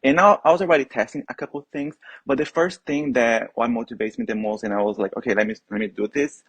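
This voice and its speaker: A man's voice: mid-range voice, fairly even intonation, quick pace, a bit bright, slightly rough, dry recording. A man from Brazil whose first language is Portuguese, a guest talking eagerly in a casual interview and often restarting his sentences. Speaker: guest, HubSpot trainer.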